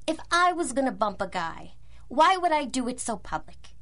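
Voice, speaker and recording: Bratty 1930s radio crook gal, slangy wisecracks, indignant, swingy piercing voice. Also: female voice, sits high, sing-song, moderate pace, fairly bright tone, full character voice.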